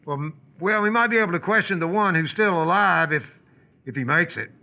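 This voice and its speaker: A police officer on the scanner played by a man in a radio play. His voice is high and forceful, with a bright, sharp edge. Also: lively intonation, brisk, creaky, a little hiss or hum, heavily acted. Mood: businesslike. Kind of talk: live radio theatre acting.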